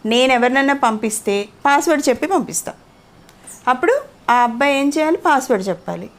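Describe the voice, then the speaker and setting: Female storyteller, high voice, chatty bedtime-tale delivery that quizzes the young listener. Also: lively intonation, fairly quick. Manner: interactive, asks the child questions. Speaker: storyteller to a child. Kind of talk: conversational bedtime moral storytelling.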